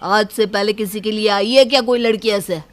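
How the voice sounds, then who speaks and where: एक महिला, कार्टून नैतिक कहानी में भोले, शेखीबाज़ और अपनी दुल्हन पर फ़िदा जवान आदमी का अभिनय करती हुई, रूठे लहजे में।. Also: female voice, medium pitch, fairly even intonation, normal speed, natural voice. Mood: petulant boasting. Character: whiny, naive, boastful young man devoted to his bride. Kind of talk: animated moral story.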